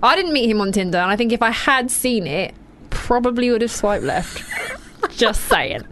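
A woman's voice: fairly high pitch, lively intonation, normal speed, fairly bright tone, a little hiss or hum. A woman in her twenties with a British accent, hosting a casual radio show from a studio on a headset mic, chatty and giggly. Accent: British English. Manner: giggly, chatty and self-deprecating.

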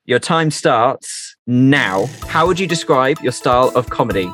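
A man's voice: mid-range voice, expressive, a little slow, fairly bright tone, soft background music. A man hosting a casual radio interview, putting questions to a guest. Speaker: radio host.

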